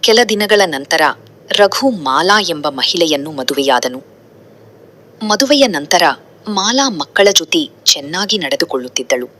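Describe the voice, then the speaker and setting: Woman narrator for moral tales: very low register, bright, animated. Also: measured pace. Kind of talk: scripted moral story narration.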